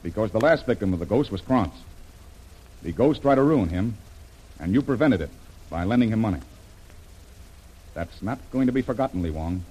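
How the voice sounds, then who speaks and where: Low-voiced adventurer, old radio serial. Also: male voice, animated, moderate pace, pauses often, mellow, breathy edge, dull audio. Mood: determined, urgent. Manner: plain-spoken, informal, hesitant when cornered. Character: plucky American seafaring adventurer, blunt and determined. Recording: noisy vintage radio drama recording.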